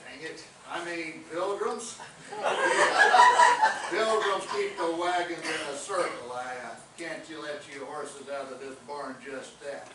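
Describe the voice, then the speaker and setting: A folksy cowboy detective played by a stage actor rambles in a fairly high man's voice. He drawls and hesitates, dim and comic, in a very echoey theatre with noticeable noise. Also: steady tone, a little slow, natural voice. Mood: rambling. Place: theatre stage, recorded from audience distance. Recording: echoey theatre, distant mic, clear noise.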